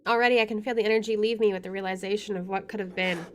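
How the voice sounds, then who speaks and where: A woman's voice: medium pitch, steady tone, rapid-fire. Wry woman narrating a game playthrough. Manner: wry, joking asides. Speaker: video game streamer. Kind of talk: reading game text aloud with casual commentary.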